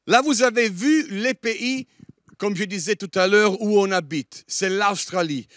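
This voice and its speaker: A man's voice: high-pitched, unhurried, fairly bright tone, no room sound, studio quality. A middle-aged Italian man preaching a sermon in French, with an Italian accent touched by a Liège accent, often hesitating with "euh" as he searches for words. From Italy. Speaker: guest preacher.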